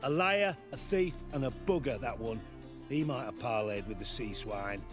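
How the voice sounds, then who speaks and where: A man plays a surly port sailor from a fantasy game. His mid-pitched voice is curt and full of scorn, with music clearly behind it. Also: lively intonation, normal speed, close to an everyday voice. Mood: scornful. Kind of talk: video game character acting.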